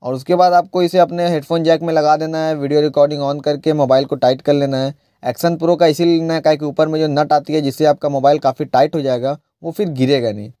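High-voiced man giving a chatty how-to. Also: steady tone, fast talker, dark tone, dry recording, clean studio sound. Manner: explains step by step, chatty. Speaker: moto vlogger. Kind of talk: casual tutorial vlog. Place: riding a motorcycle, then at a shop table. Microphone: earphone mic tucked inside a helmet.

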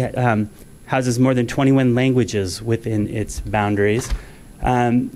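A man's voice: mid-range voice, darkish tone. A man in his forties, a school district director, reading a resolution at a board meeting, formal with frequent ums, at a podium microphone in a meeting room.

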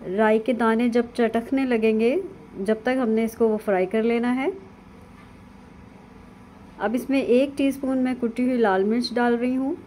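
Mellow-voiced woman explaining a recipe. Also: on the high side, unhurried, long pauses, a little hiss or hum, low-quality recording. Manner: calm, instructive, with frequent fillers. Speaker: cooking channel host. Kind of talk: step-by-step cooking voice-over. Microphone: voice-over over hands-only video.

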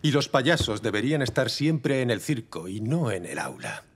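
A man voicing a strict, moralizing schoolmaster in a children's cartoon, speaking in a measured way. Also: medium pitch, very animated, slight background noise, natural voice. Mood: stern. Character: stern, moralizing village schoolmaster. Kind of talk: animated children's series dubbing.

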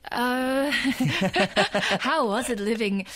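Woman, thirties, from the Netherlands, studio interview guest, halting and thoughtful, dry close mic. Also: a little high, fairly bright tone, no echo. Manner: thoughtful, with many pauses and "um"s. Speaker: guest, musical actor. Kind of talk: radio interview. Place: radio studio. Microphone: studio microphone on a stand.

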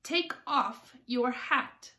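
A woman voicing a bossy, short-tempered storybook king who speaks in a sharp, commanding way. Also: high-pitched, very slow, pitched above the performer's own voice, heavily acted.

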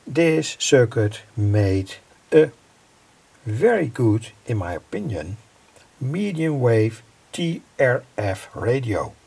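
Man, middle-aged, very slow deliberate delivery, husky and creaky, informal technical walkthrough. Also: mid-range voice, big pitch swings, a little mellow. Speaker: electronics hobbyist presenter. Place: indoor home electronics workbench.

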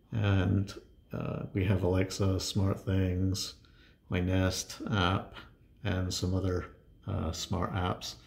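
A man explains a home tech project informally. His voice sits on the low side with a lot of creaky fry. He talks in halting, hesitant phrases, like an amateur tutorial presenter. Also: a little mellow.

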